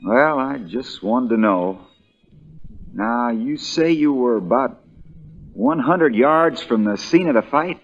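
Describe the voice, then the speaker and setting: An actor playing a shrewd, folksy American country lawyer, relentless in questioning, in the style of 1940s radio drama. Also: male voice, medium pitch, very animated, slow pace, gravelly, muffled recording, full character voice. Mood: dry, folksy. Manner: drawling folksy understatement that builds into hammering accusation.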